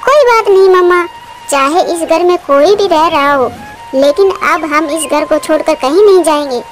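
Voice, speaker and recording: A woman's voice: very high voice, normal speed, soft background music. A woman voicing an eager, insistent little girl chick in a childlike cartoon manner.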